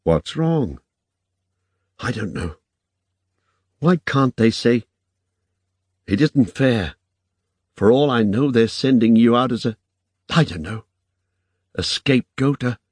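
A man's voice: mid-range voice, animated, slow pace, long pauses, a little mellow, slightly rough, no echo, pitched above the performer's own voice. A man voicing an earnest, anxious young woman in a story, speaking plainly.

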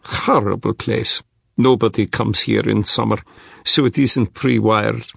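A man's voice: lowish voice, fairly even intonation, measured pace, creaky, a bit bright, breathy edge, dry recording, exaggerated voice. A man playing a wry young Russian strategist in an audiobook, with a thick Russian accent and clipped, sardonic phrasing that drops articles. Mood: matter-of-fact. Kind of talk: audiobook character dialogue.

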